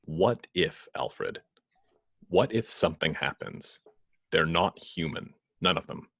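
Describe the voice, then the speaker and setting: A brooding, paranoid vigilante with a very low voice. He speaks slowly and tersely, every phrase ominous and deliberate. The superhero-script delivery is captured dry and close. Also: animated, fairly bright tone, no echo, full character voice. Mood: grim, paranoid. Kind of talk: table read of a superhero film script.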